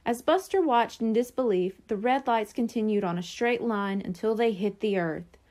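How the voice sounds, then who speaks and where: A woman reading a children's chapter book aloud as narrator, expressive and clearly phrased. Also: on the high side, moderate pace. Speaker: storybook reader.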